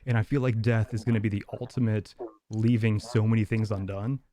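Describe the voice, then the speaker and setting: A man's voice: fairly low pitch, fairly even intonation, fairly quick, dark tone. Young southern guy, earnest and chatty, casual podcast host on a headset. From American South. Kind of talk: casual chat. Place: home room with bicycle. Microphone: headset mic.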